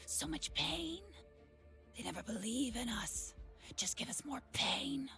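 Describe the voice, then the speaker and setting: Woman reader doing a breathy, whispering demon voice in someone's head; slow, sinister, faint music bed. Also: sits high, animated, pushed and bright, voice pushed up, heavily acted. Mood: menacing whisper. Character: whispering malevolent voice inside a girl's head.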